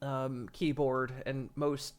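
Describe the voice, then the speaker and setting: A man's voice: mid-range voice, level tone, slow, clean tone. A congested, stuffy-nosed man chatting casually to viewers as a livestreamer, rambling with frequent chuckles and restarts. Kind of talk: casual stream chat. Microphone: desk microphone.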